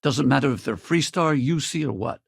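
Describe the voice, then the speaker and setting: A man playing a middle-aged, weary but loyal spaceship crewman and ex-soldier in a video game, plain-spoken and wry, with a gravelly, husky voice. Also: mid-range voice, animated, moderate pace, dry recording, studio quality, exaggerated voice. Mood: earnest. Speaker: video game companion character. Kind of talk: naturalistic video game dialogue.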